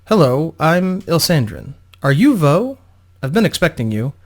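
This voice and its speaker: A man's voice: on the high side, sing-song, measured pace. A man voicing a young female opera singer, polite, grateful and slightly anxious, in a storybook reading. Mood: polite, welcoming.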